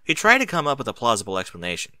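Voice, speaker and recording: A man narrates a story with an animated, expressive delivery. His voice is bright and the sound is dry and close. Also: mid-range voice, rapid-fire, no room sound. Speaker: audiobook narrator of fan fiction.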